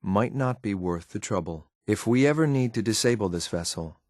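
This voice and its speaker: A man voicing a stoic, dutiful synth agent for a video game, speaking in a clipped, measured, formal deadpan. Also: lowish voice, no room sound, studio quality. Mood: detached, observant. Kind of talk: scripted video game companion lines.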